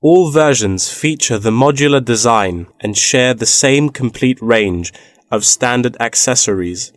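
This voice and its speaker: An actor plays a knowledgeable man in a scripted listening-test dialogue. His voice sits at a medium pitch, with a measured, informative delivery. The recording is dry, with no room sound. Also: dry recording, natural voice. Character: knowledgeable man explaining wind farm technology.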